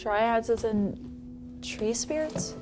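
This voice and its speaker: Actress as a high-voiced, sardonic younger sister. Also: female voice, extremely slow. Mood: hurt, defensive. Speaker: actor. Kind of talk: naturalistic dramatic dialogue.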